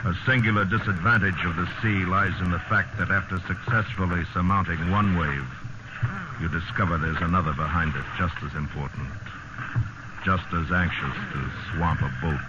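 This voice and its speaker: A man acting as the grave, measured narrator of a sea survival tale in a 1950s radio drama. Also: low voice, fairly even intonation, very noisy, close to an everyday voice. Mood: solemn. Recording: muffled old radio recording, loud music behind.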